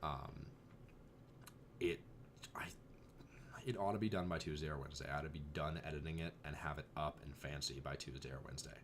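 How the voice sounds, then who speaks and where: Very deep-voiced young man, slightly hoarse. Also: brisk, pauses often, a little hiss or hum. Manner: rambling, exasperated asides. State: voice going hoarse. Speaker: vlogger. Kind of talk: casual chat.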